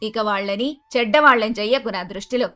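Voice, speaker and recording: A woman playing an emphatic, emotional middle-aged mother-in-law in an animated moral story. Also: fairly high pitch, brisk, natural voice. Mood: earnest, firm. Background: faint music.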